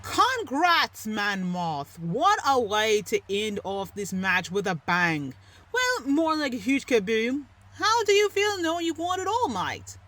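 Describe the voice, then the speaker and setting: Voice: mid-range voice, very animated, measured pace, bright, forceful tone. A performer voicing a bubbly post-match interviewer in a wrestling cartoon. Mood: congratulatory.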